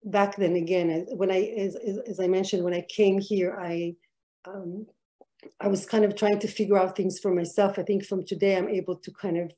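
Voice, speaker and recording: A middle-aged woman from Israel speaks slowly and reflectively over a video call, pausing often with ums and restarting her sentences. Her voice is warm and dark in tone, at an ordinary middle pitch. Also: level tone. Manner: hesitant, many fillers and restarts. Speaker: artist guest. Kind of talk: reflective interview answers.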